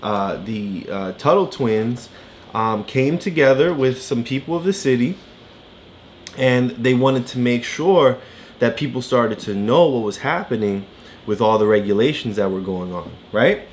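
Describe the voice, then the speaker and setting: A woman's voice: a bass voice, expressive, measured pace, darkish tone. A woman co-hosting a casual read-aloud for a child, explaining in an encouraging way with frequent check-in questions. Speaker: parent co-host of a read-aloud. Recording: faint background noise.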